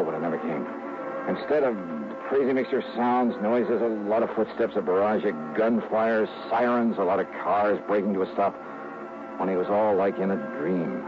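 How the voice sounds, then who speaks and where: An actor playing a tough, wry insurance investigator in a 1960s radio drama, speaking in a clipped, hard-boiled manner. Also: male voice, lowish voice, close to an everyday voice. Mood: wry, dazed. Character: tough, wry male insurance investigator narrating his case. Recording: muffled, hissy old radio broadcast recording.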